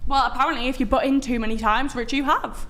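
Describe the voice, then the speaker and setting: A British woman debating on a panel, combative and quick to object when interrupted. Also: high voice, steady tone, a bit bright. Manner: combative, keeps insisting she is being interrupted. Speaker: panel guest.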